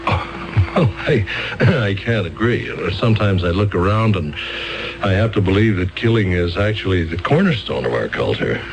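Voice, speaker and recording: An actor playing a fumbling, wordy court-appointed lawyer who hesitates, stammers and clears his throat, in a 1970s radio drama. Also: deep voice, lively intonation, normal speed, soft background music, poor audio.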